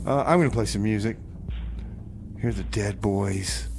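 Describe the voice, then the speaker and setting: A man's voice: on the low side, measured pace, a little mellow, slight background noise. A man hosting a community radio music show, chatting casually between songs and comedy bits. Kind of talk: casual on-air DJ chat.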